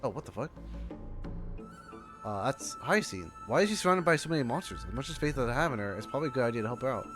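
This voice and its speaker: A man's voice: mid-range voice, animated, fast. A man livestreaming a game, reacting casually and reading text aloud in informal, self-interrupting chatter. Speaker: game streamer. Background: game music.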